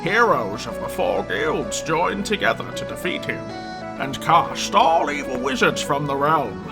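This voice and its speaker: A man's voice: fairly high pitch, very animated, measured pace, poor audio. A man reading dramatic scripted fantasy narration. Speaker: intro narrator. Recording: loud music under the voice. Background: loud music.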